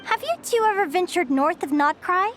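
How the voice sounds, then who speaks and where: Very high, eager young researcher. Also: female voice, expressive, moderate pace, fairly bright tone, slight background noise. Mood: friendly explaining. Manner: enthusiastic, chatty, explanatory. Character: young female animal researcher, eager, curious, affectionate toward creatures. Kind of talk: anime-style video game voice acting. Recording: background game music.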